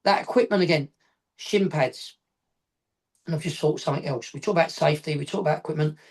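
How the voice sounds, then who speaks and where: A man's voice: on the high side, quick pace, husky. A middle-aged man, a football coach educator, explaining at length on a video call from his home office, wandering and adding afterthoughts. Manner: rambling, repeats points, adds afterthoughts. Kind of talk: casual explanatory chat.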